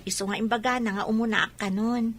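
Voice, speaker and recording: A woman's voice: a little high, fairly even intonation, fairly quick, fairly bright tone. A woman with an Ilocano accent playing a polite, caring young student in a radio drama, faint noise behind. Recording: faint background ambient noise.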